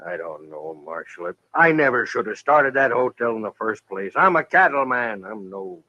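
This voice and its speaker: A forceful, tight-edged voice on a dull vintage radio recording: a stubborn, hot-headed cattleman in a western drama. He talks bluntly and grumbles, ready with a threat. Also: medium pitch, very animated, normal speed, no echo, exaggerated voice. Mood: contrite. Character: hot-headed, stubborn cattleman turned grudging hotel owner.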